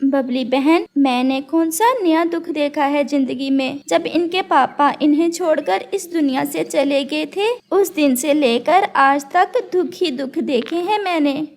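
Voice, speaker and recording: A woman's voice: sits high, unhurried, few pauses. A woman voicing a loving, long-suffering mother bird in a cartoon, pleading and emotional. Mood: sorrowful. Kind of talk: Hindi cartoon character dialogue.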